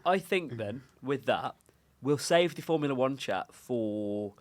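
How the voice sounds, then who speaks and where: A man's voice: medium pitch, lively intonation, a little slow. A young man hosting a sports podcast in casual chat, joking and laughing often. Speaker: podcast host.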